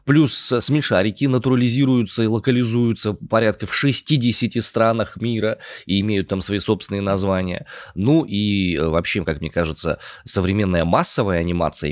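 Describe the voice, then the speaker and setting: A man's voice: low voice, dry recording, clean studio sound. A man from Omsk co-hosting a morning news podcast, bantering in a playful, emotional, exclamatory way. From Omsk, Russia. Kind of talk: lively conversational news banter.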